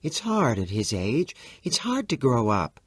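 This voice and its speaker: A man voicing a calm, cold middle-aged stepmother who speaks quietly and evenly. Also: mid-range voice, measured pace, breathy edge, no echo, pitched above the performer's own voice, full character voice. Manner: quiet, even and controlled.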